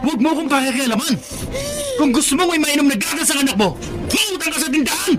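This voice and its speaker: A quick-tempered, bullying young husband in a radio drama. He barks threats and snaps at everyone, fast and high-pitched. The whole part runs hot and menacing. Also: animated, music in the background. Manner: threatening, shouting.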